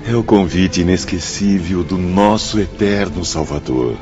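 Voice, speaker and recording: Deep, slow, devout spirit. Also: male voice. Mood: reverent. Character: grave, devout male spirit apprentice. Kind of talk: radio drama acting. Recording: muffled audio with faint music.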